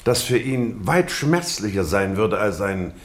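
A man acting in a German radio drama as a courteous, shrewd skeptic, questioning in formal, measured phrases. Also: lowish voice, very animated. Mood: skeptical, measured. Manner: formal, measured, probing, with attentive murmurs.

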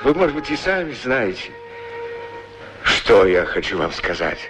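An actor playing a lonely, tender, anxious war-veteran shoe shiner, speaking hesitantly and earnestly in a 1960s radio play. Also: male voice, medium pitch, big pitch swings, measured pace. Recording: old radio recording with music behind. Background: prominent music.

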